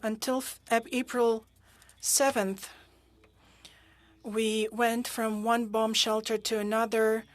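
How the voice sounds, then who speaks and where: Woman interpreter, slow delivery, dry close booth mic, halting, many fillers. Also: on the high side, little pitch movement, no echo. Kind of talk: simultaneous interpretation of witness testimony. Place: interpreter booth at a parliamentary hearing.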